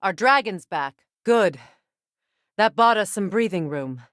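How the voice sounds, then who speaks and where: An actress voicing a stern, wise warrior goddess in a video game, speaking with measured, formal diction. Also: female voice, medium pitch, lively intonation, a bit bright, no echo, studio quality. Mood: composed, observant. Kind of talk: dramatic video game voice acting.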